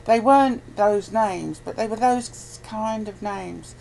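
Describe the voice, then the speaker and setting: A woman's voice: medium pitch, takes it slowly, gravelly, darkish tone, poor audio. A woman in a casual debate, arguing her points insistently. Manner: insistent, argumentative. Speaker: interviewer in conversation. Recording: faint noise on amateur chat recording.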